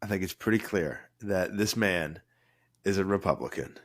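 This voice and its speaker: A man with a cold, co-hosting a podcast in a low, mellow voice, joking and rambling with restarts. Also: moderate pace. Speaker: podcast co-host, former cruise director. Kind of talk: casual podcast chat.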